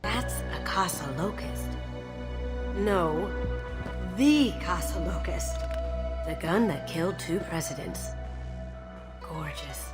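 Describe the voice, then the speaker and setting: A woman's voice: on the low side, animated, slow, pauses often. An actress playing a sly, confident, playful female master thief in a video game, with a breezy, self-assured manner. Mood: admiring. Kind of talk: scripted game dialogue. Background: background music.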